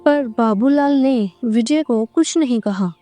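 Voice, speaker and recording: A woman reads a scripted tale as narrator. Her voice sits fairly high yet has a very soft, mellow tone, and she takes her time over each line. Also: slow pace, soft background music. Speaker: story narrator.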